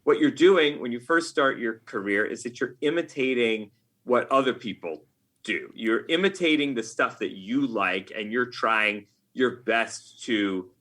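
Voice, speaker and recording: A middle-aged man talking casually as an interview guest, often halting and rephrasing himself as he goes. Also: mid-range voice, lively intonation, fairly bright tone. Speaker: podcast guest, game writer. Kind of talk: casual interview talk, reflective anecdotes.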